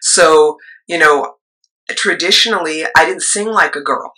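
Low-voiced middle-aged woman, bright tone. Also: a little slow, low-quality recording. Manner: rambling, with asides and trailing thoughts. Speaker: choir leader and actress. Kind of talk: interview storytelling.

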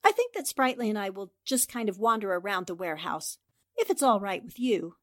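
An actress playing a determined, inquisitive newspaper reporter in a 1940s radio drama, with a probing manner. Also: female voice, medium pitch, sing-song, a little fast, a bit bright. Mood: casual, polite.

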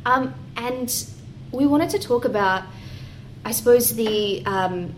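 A woman's voice: fairly high pitch, slow, slight background noise, low-quality recording. Encouraging woman interviewer, hesitant phrasing. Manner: warm and encouraging, with hesitant "um"s and "kind of". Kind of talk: conversational interview.

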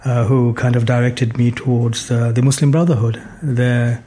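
A man in his sixties from Bangladesh, a guest telling his life story in a measured, thoughtful way with many fillers and restarts. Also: mid-range voice, fairly even intonation, warm tone. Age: 60s. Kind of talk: reflective life story in conversation.

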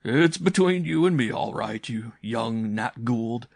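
Storybook uncle, acted by a male reader: hearty grown man, amused, indulgent teasing, creaky, dry recording. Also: medium pitch, moderate pace, no echo.

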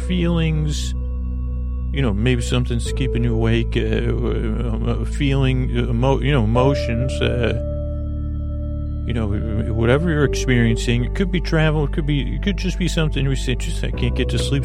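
A man hosting a bedtime podcast, talking in a slow, meandering way full of fillers, tangents and restarts, with a creaky voice. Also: medium pitch. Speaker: podcast host. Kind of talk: rambling bedtime podcast monologue.

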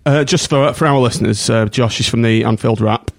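A man's voice: medium pitch, a little fast, gravelly, no room sound. A man hosting a casual podcast chat, friendly and rambling with many restarts. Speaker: podcast host.

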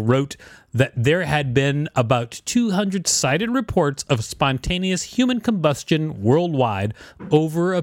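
A man's voice: a little high, no echo, clean studio sound. A man co-hosting a podcast, mixing casual chat with reading an article aloud. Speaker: podcast co-host.

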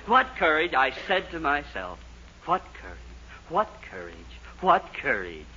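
An American actor playing a fussy, excitable shoe-store owner in a 1940s radio comedy, stammering and repeating himself. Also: male voice, a little high, measured pace, full character voice. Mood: giddy. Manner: fluttery, stammering, repeats phrases. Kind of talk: 1940s radio sitcom acting. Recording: muffled, noisy 1940s radio recording.

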